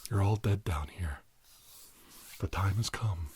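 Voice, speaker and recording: A man's voice: low voice, slow, darkish tone, a little hiss or hum. A man voicing a grave, ceremonious alien-hybrid child who makes prophetic pronouncements in a comic monologue. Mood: solemn, ominous. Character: solemn prophetic alien-hybrid child addressing his earth father.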